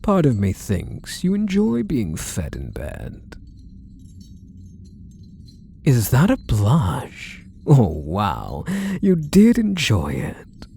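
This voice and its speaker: A possessive yandere husband, acted by a man, murmurs in an intimate ASMR roleplay with doting, quietly menacing condescension. His voice is mellow and creaky, with pitch that rises and dips widely. He coaxes softly and lets his endearments linger. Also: a little hiss or hum, exaggerated voice. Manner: soft, coaxing, condescending endearments, lingering phrases.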